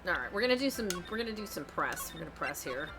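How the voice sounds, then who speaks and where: Woman streamer, blunt casual commentary, lowish voice, game music behind. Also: big pitch swings, fast talker. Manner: blunt, swears casually, talks back to the game. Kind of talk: casual game commentary and reading dialogue aloud. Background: video game music.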